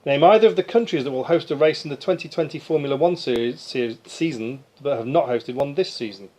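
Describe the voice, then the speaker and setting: A man's voice: mid-range voice, quick pace, dark tone, a little hiss or hum. A man acting as quiz master, reading questions aloud to contestants at a live quiz. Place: live quiz night, group in a room.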